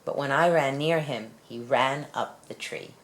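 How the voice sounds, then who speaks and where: A woman with a low-pitched voice reads a picture book aloud for young children. Her delivery is expressive storytelling, in a deep female register. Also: measured pace, noisy background. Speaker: storybook reader.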